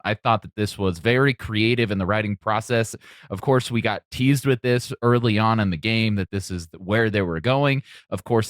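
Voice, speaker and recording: Guy hosting a podcast chat, lowish voice, really dry recording. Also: fairly bright tone, no room sound. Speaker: podcast host. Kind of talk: podcast discussion with guests.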